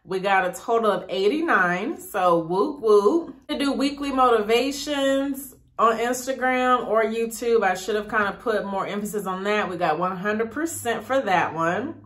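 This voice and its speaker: A woman in her thirties speaks straight to her viewers in a relaxed vlog. She sounds upbeat and encouraging, like a big sister cheering others on. She often repeats words for emphasis. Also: medium pitch, measured pace, hardly any pauses. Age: 30s. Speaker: YouTube vlogger. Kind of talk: casual encouraging vlog talk.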